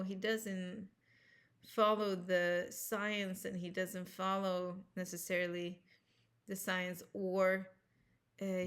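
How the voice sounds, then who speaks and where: A woman's voice: on the low side, fairly bright tone. A woman with a Swedish accent, speaking English as a second language, talking casually and earnestly to camera as a health vlogger, rambling with restarts and self-corrections. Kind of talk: casual personal talk to camera.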